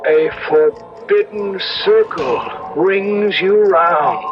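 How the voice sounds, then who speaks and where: A man's voice: high voice, drawn-out words. A man acting a lost, yearning ghost lover, eerie and solemn, in an old-time radio drama. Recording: muffled old radio recording, loud music behind.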